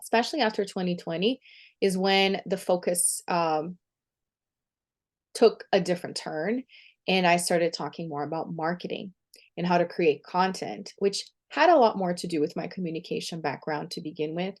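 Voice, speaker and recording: Woman, thirties, lowish pitch, chatty and upbeat. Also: moderate pace. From Brazil. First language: Portuguese. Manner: upbeat, chatty, laughs easily. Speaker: guest, empowerment coach. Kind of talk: podcast interview.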